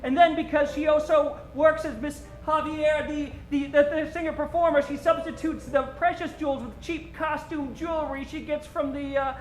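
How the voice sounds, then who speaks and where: A man's voice: extremely high pitch, steady tone, moderate pace, few pauses, reverberant, rough recording. A man playing a wisecracking, hapless small-time crook in an old-time radio comedy. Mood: confessing.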